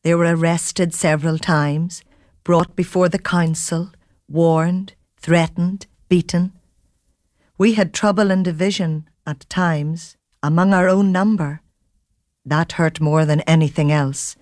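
An actress narrating as Peter's wife, telling the story in a calm, measured first person for a radio drama. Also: female voice, fairly low pitch, no room sound, clean studio sound. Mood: reflective. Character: Peter's wife, a woman recounting events.

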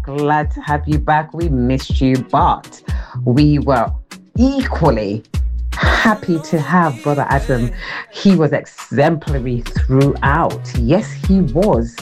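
A woman's voice: low voice, lively intonation, slow, darkish tone, faint music behind. A woman leaving a voice message for a radio host, effusive and repeating her greetings and blessings. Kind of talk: voice note greeting.

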